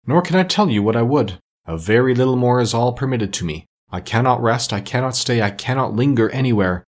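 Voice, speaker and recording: A man's voice: mid-range voice, expressive, a little fast, lightly acted. A man voicing a tormented, solemn ghost of a dead businessman in a storybook reading, with mournful, grave, declamatory delivery. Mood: bleak.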